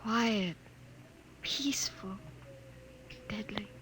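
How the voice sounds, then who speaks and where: An American actress playing a tender young wife full of wonder and hope, with breathy, earnest 1950s radio drama delivery. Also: female voice, medium pitch, drawn-out words, fairly bright tone. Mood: hushed wonder. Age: young adult. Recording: noisy 1950 radio transcription.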